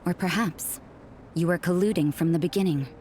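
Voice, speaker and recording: A woman playing a stern, cynical young nun in a video game, guarded and suspicious, with a cool, sardonic, deliberate delivery. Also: lowish voice, over music, natural voice. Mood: accusing, cold. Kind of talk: English video game character dialogue.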